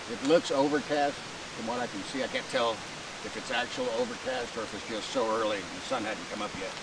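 An American man casually narrating his motorcycle camping trip with dry, rambling humor, outdoors and in a tent. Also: mid-range voice. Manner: laid-back, dry humor, rambling self-talk. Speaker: moto camping vlogger. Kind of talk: casual vlog narration to camera. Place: tent and campsite outdoors by a creek in the rain. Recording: distant camera mic with rain hiss.

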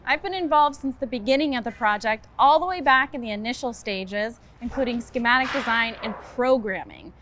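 Architect, woman, 30s, high voice, steady explainer, outdoor site, faint background noise. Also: brisk, hardly any pauses. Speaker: project architect. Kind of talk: on-site interview.

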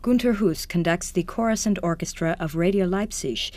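Radio satire: actress as composed female panel moderator, measured and courteous, lowish pitch, close dry sound. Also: no echo, close to an everyday voice. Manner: deadpan, polite, measured. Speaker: panel host. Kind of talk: formal radio presenting, deadpan satire.

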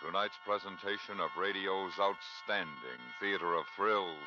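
Deep voiced american guy announcing an old 50s radio show, formal and dramatic, muffled with music under. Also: normal speed. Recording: dull 1950s radio recording, music behind.

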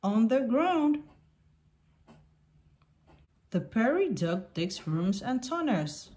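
A woman reading a picture book aloud for young children in deliberate, simple sentences. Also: fairly high pitch, sing-song, darkish tone, a little hiss or hum.

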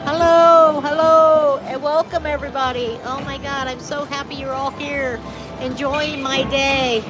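An older woman from California co-hosting a live slot stream, excitable and cheering at the reels. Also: sits high, a little slow, crowd noise, low-quality recording. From California, USA. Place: casino floor. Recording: loud casino machine music behind. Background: loud slot machine music.

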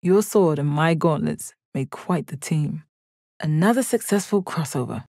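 A woman playing a stoic, confident, commanding gem warrior in a video game, with a measured, deadpan delivery. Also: deep voice, no echo, clean studio sound, heavily acted. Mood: impressed. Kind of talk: video game character battle lines.

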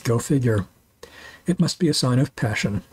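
A man's voice: medium pitch, expressive, normal speed, breathy edge, low-quality recording. A man in his sixties reading a scripted voice-over for a food and walking tour, with dry, wry humor. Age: 60s. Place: voice-over, not recorded on location.

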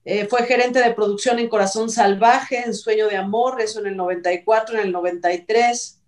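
A woman's voice: medium pitch, fairly even intonation, fairly quick. A middle-aged woman with a Mexican accent co-hosting an entertainment talk show, chatty and opinionated, giving casual news commentary. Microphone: video call. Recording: distant mic on video call.

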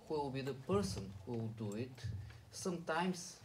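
A man's voice: medium pitch, very animated, moderate pace, distant mic. Bulgarian man in the audience, insistent, handheld mic heard from afar with a bit of noise. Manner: insistent, repeating questions. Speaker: audience member asking a question. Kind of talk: pointed question from the floor. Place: conference room. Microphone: handheld microphone, camera far off.

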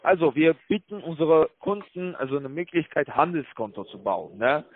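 A man working as a call-centre broker, making a halting, repetitive, placating sales pitch over the phone. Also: big pitch swings. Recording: tinny phone line with loud-enough background noise.

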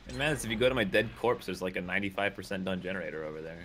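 Expressive man commenting on a game. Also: deep voice, very fast, slight background noise. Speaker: player spectating with friends. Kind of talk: casual gaming banter.

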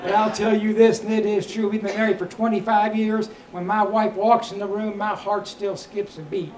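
A man's voice: sits high, little pitch movement, normal speed, crowd noise. A middle-aged man performing stand-up comedy into a handheld mic, telling stories and addressing the room. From Kentucky, United States. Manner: storytelling delivery, projected to the room. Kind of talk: stand-up comedy routine with crowd work. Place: small comedy club stage, indoors. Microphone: handheld microphone. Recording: echoey club stage, clearly noisy.

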